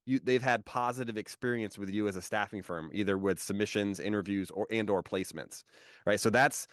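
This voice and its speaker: Low-voiced host, conversational. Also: male voice, fairly even intonation, fairly quick, dry recording, studio quality. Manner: conversational, with frequent "kind of" and "right?" checks. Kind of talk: business podcast interview.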